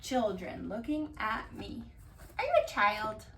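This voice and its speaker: A woman doing a casual ASMR-style storytime, reading aloud and drifting into dry, deadpan asides. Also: medium pitch, unhurried. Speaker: cat vlogger reading bedtime picture books aloud. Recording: distant mic, roomy background noise.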